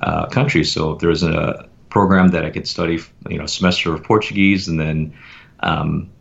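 A man with a very low voice speaks in a relaxed, meandering way, full of pauses and fillers. His voice has a gravelly edge. The sound is muffled and lo-fi. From United States. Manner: reflective, meandering with many fillers. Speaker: podcast guest. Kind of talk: casual conversational interview.

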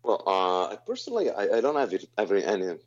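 A man in his thirties with a very deep voice, answering interview questions in a halting, self-correcting way. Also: wildly swooping pitch. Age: 30s. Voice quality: very low, deep voice. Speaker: guest vocalist. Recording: slightly dull lo-fi sound.

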